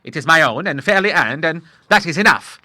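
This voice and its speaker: An old Admiral played by a male reader from an audiobook, bluff, boisterous and proudly fatherly. The voice is very forceful and sharp, swinging widely in pitch, and it is recorded close and dry. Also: lively intonation, fairly quick, dry recording. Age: older. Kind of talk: audiobook character voice.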